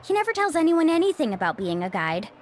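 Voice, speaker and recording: A woman's voice: sits high, big pitch swings, quick pace, soft background music. A woman voicing an earnest young female guide who carefully explains her duties.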